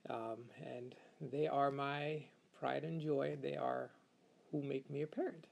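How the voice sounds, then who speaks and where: A man's voice: medium pitch, steady tone, unhurried, gravelly, darkish tone. A middle-aged man from Uganda talking reflectively and casually as an interview guest, repeating phrases for emphasis. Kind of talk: casual personal conversation.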